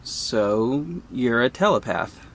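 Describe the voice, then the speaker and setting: A man's voice: mid-range voice, lively intonation, takes it slowly, a bit bright, slight background noise. A man playing a sarcastic, petty young company head who narrates an audio drama in a wry, dramatic way. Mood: anxious. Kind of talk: first-person audio drama narration and dialogue.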